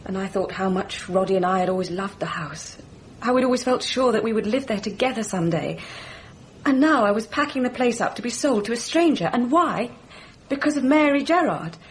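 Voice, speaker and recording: An actress playing a bitter, candid young English gentlewoman in a radio drama, frank and brooding in manner. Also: on the high side, animated, a little fast, slight background noise, natural voice. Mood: brooding, confessional.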